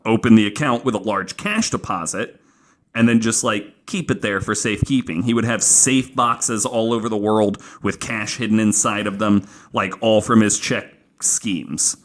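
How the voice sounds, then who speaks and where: A man's voice: fairly low pitch, moderate pace, fairly bright tone. A man hosting a podcast, telling a true-crime story casually and informally from notes, with some swearing. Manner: informal, profane, acting out quoted remarks.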